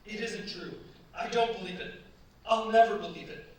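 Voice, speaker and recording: A man's voice: high-pitched, normal speed, natural voice. Man doing sick distraught husband, radio play on stage, way off mic and really echoey. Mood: anguished, weak. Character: weak, dying husband, feverish and distraught. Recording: very echoey stage, distant mic, faint noise.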